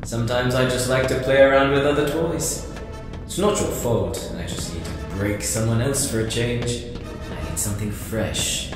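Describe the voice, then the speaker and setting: A very echoey recording with music underneath carries a gloating, sadistic demon voiced by a man. He talks in a middle-pitched, theatrical drawl, taunting and condescending, as in an anime-style audio drama. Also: normal speed, natural voice. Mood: menacing playful. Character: gloating sadistic male demon possessing a man's body.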